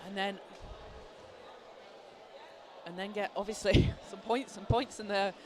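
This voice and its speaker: A man, hoarse from a cold, giving excited live play-by-play basketball commentary in an indoor arena. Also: sits high, a little slow. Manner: excited, shouting calls on big plays. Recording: clear arena noise behind.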